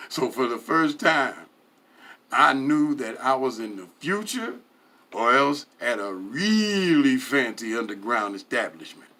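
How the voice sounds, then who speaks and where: A man reading a first-person story aloud in an animated, expressive way, with emphatic swells and hushed drops. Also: mid-range voice, takes it slowly. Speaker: story reader.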